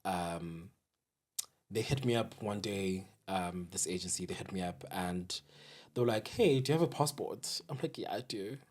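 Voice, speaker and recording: Deep-voiced young South African man. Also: normal speed, darkish tone. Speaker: guest DJ and music producer. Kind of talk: casual podcast interview.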